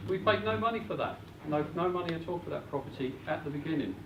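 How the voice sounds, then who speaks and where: A man speaking in a slightly high voice gives practical, matter-of-fact announcements to a seminar audience, with plenty of fillers. The mic is far away, so his voice sounds distant and echoey. A faint hiss runs underneath. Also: lively intonation, fast talker, dull audio. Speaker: seminar presenter. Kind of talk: live seminar presentation. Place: meeting room with an audience.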